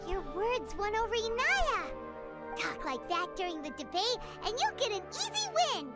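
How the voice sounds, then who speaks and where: Tiny childlike fairy companion, anime game. Squeaky, wildly animated, cheerful, exaggerated delivery. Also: female voice, normal speed, heavily acted. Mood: excited. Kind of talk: anime video game voice acting. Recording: music behind the voice.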